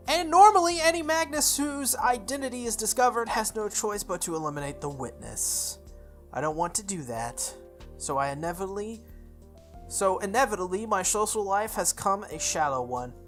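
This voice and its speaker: A woman reading a visual novel aloud in first person, with expressive delivery, occasional stumbles and her own asides. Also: on the low side. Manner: expressive, self-correcting reading.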